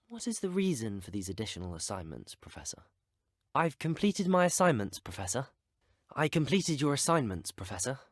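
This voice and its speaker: A man's voice: mid-range voice, no room sound, clean studio sound. A young man with an English accent voicing a polite, eager student wizard in scripted video game dialogue. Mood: polite, subdued. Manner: polite, well-spoken, earnest.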